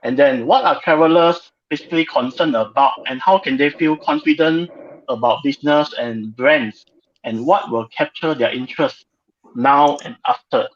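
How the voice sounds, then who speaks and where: Higher-pitched man, online panel host. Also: normal speed. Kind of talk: informal online panel moderation. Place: online video call. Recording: dull, muffled video call.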